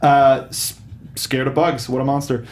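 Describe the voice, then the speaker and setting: A man hosting a casual film review chat, animated and enthusiastic with halting phrasing. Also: mid-range voice. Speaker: talk show host. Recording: clear background noise.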